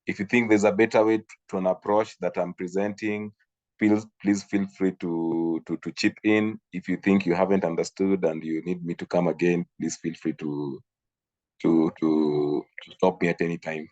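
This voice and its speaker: A man from Kenya teaches a class over a video call. His voice sits very low. He talks haltingly, with fillers and repeated words. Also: level tone, a little mellow, lo-fi sound. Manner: hesitant, repeats himself, frequent fillers. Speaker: instructor. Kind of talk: online training session.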